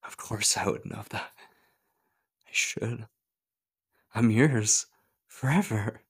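A man playing an obsessive, possessive stalker lover in a horror ASMR roleplay, halting and breathy, clingy and menacing. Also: fairly low pitch, exaggerated voice. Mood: sinister, teasing, then pleading, hunting. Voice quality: husky, breathy. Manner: slow, halting, slipping between breathy whispers and urgent pleading. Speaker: voice actor.

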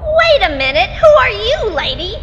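Woman playing a haughty, high-voiced ghost wife. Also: big pitch swings, moderate pace, fairly bright tone, dull audio, full character voice. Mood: catty, indignant. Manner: eerie proclamations slipping into catty bickering. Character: haughty territorial ghost of a murdered major's wife. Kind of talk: audio drama acting. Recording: long ghostly reverb, music underneath.

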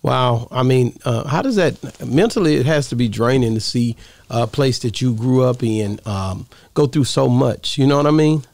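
A man's voice: mid-range voice, expressive, normal speed, creaky, a little mellow, no room sound. A man in his thirties hosting a podcast, chatting casually in a laid-back, rambling way with many fillers. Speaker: podcast host. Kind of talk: casual interview chat. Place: podcast studio, indoors. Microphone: studio mic on arm, headphones.

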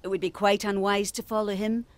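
An actress playing a haughty, cryptic island woman in an audio drama, measured, formal and coolly condescending. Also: mid-range voice, little pitch movement, lightly acted.

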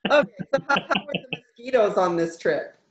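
A woman in her fifties hosting a casual interview over a video call, upbeat and quick to laugh. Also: mid-range voice, lively intonation. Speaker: host. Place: home room. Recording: roomy, dull video call audio.

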